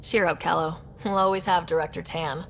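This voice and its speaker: A woman's voice: fairly low pitch, steady tone, brisk, creaky, fairly bright tone. An actress playing a young, determined woman leading a space expedition in a video game, casual and encouraging. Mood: friendly, curious. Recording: music behind the voice.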